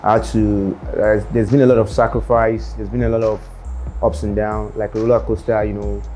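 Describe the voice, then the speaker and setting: A young Nigerian man talking about his rap career in an interview, unhurried and full of fillers and restarts. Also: lowish voice, flat delivery, mellow, soft background music. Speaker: rapper interviewee. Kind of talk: casual interview monologue.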